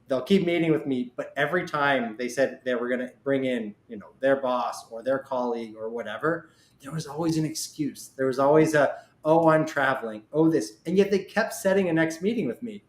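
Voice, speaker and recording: A man with a mid-pitched voice in a roomy, echoey space. He talks casually as a podcast host, slipping into little quoted asides as he explains things in a conversational way. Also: a little fast. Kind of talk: casual interview chat.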